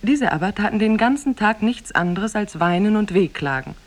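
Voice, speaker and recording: An actress narrating an Arabian Nights tale in a measured, formal storytelling manner for a 1950s German radio play. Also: female voice, medium pitch, lively intonation, lightly acted.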